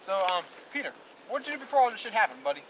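A man's voice: sits high, very animated, rapid-fire, background noise. A young man rehearsing film dialogue in a hesitant, laid-back way, recorded outdoors on a camera mic. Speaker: amateur actor in film outtakes. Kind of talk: rehearsing scripted dialogue, casual. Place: outdoors in woods.

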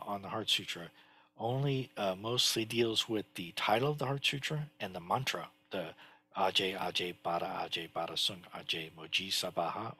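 A man in his sixties teaching a lesson from his home study over a headset, explaining slowly with many fillers and restarts. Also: low voice, pushed and bright, breathy edge. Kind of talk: screen-share lesson on Chinese characters.

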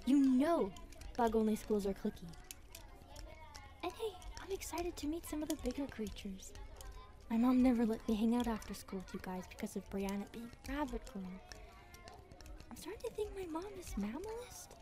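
Woman acting a high-voiced nervous grasshopper girl. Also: animated, normal speed, warm tone, faint music behind, natural voice. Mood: chatty, excited. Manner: hesitant, chatty. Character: nervous, self-doubting young grasshopper girl starting university. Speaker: roleplay voice actress. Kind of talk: ASMR roleplay acting.

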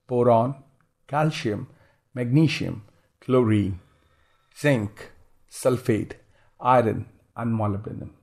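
Male instructor for slide tutorial: relaxed dark timbre, average pitch, steady explanatory read. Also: vocal fry. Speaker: instructor, farming consultant. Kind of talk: slide presentation, scripted reading with explanation.

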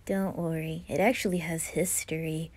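A woman voicing a cool, sly boy in an anime fan roleplay, quiet, low-key and unhurried. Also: level tone. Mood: calm, secretive.

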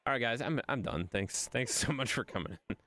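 A man's voice: medium pitch, brisk, a little hiss or hum. A man in his thirties streaming a racing game from a home setup, commentating in self-mocking bursts and yelping at his crashes. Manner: excitable, swoops up into yelps at crashes; self-mocking, addresses chat as guys. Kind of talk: live gameplay commentary. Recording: game music under voice. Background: game music.

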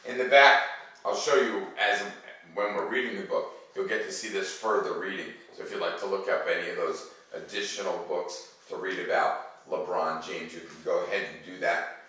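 A distant, heavily reverberant home recording of a middle-aged man with a low voice. He talks casually to children as a teacher would, easygoing and conversational, dropping in wry asides. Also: a bit bright. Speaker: teacher hosting a read-aloud for students. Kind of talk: casual chat to kids. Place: living room at home, armchair by fireplace. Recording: very echoey room, distant mic.

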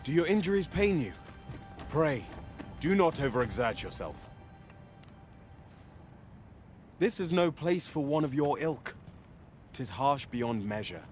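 An actor playing a solicitous, cautious pawn servant in a fantasy game, speaking in formal, earnest, archaic phrases. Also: male voice, a little high, big pitch swings, normal speed, faint music behind. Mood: concerned.

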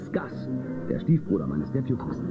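An actor playing a confident, commanding space-western marshal with a firm, authoritative manner in a children's radio play. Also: male voice, mid-range voice, sing-song, moderate pace, vocal fry, loud music behind the voice. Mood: serious. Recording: muffled phone-like old cassette sound.

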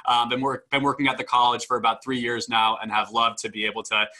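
A man's voice: medium pitch, little pitch movement, fast, bright, forceful tone. A young man informally welcoming people to an online panel as its admissions host, speaking over a video call. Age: young adult. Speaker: admissions dean hosting a student panel. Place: indoor room, video call. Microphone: video call microphone.

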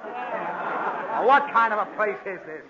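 A man's voice: high-pitched, slow, crowd noise. An actor playing an exasperated, short-tempered father, impatient and sputtering, in 1940s radio comedy. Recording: muffled, hissy old radio recording.

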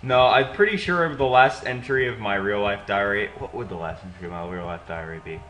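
A man's voice: on the low side, a little fast, fairly bright tone. A young man chatting casually while playing a video game with friends, joking and stumbling over restarts. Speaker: let's play co-host. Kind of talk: casual gaming chat.

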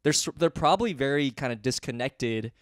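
Lively-pitched young man, teasing chat. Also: fairly quick, no room sound, clean studio sound. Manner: chatty, laughs and teases a lot. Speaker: podcast co-host, stand-up comic. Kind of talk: casual chat. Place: living room couch. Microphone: handheld mic.

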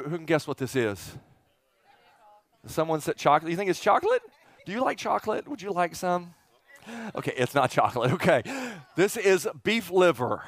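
Middle-aged male preacher, slightly high, folksy. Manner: folksy, joking, asks the audience rhetorical questions. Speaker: pastor preaching to a congregation. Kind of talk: informal, interactive sermon. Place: church auditorium stage, indoors. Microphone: headset.

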